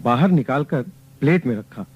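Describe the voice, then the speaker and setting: A man's voice: medium pitch, animated, fairly quick, a little hiss or hum, close to an everyday voice. An actor playing a humble hotel room boy who answers deferentially. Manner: deferential, mixes in Hindi. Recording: dull old radio recording.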